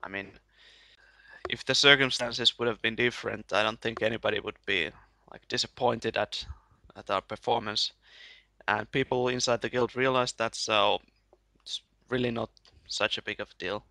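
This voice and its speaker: Bright, gravelly man, flat delivery. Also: fairly low pitch, moderate pace, no echo. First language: Finnish. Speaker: guest, gamer.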